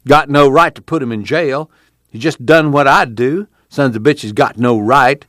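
A man's voice: mid-range voice, measured pace, fairly bright tone, dry recording, studio quality, voice pushed up, natural voice. A man voicing a cantankerous, boastful old grandfather in a storybook reading, with a rural American accent and a blustering, cussing manner. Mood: scornful bragging. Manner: blustering, cussing, folksy talk.

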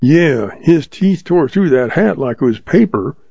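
A man's voice: fairly high pitch, lively intonation, unhurried, gravelly, dark tone, slightly rough, no echo, pitched above the performer's own voice, exaggerated voice. A man voicing an old folksy Western man who speaks in a homespun drawl, in a dull lo-fi recording. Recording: dull lo-fi audio.

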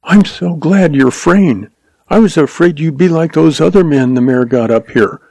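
A man's voice: a little high, moderate pace, gravelly, darkish tone, no room sound, voice pushed up. A man doing a trembling young schoolteacher. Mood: pleading. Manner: pleading, quivering. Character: young frightened schoolteacher woman, earnest.

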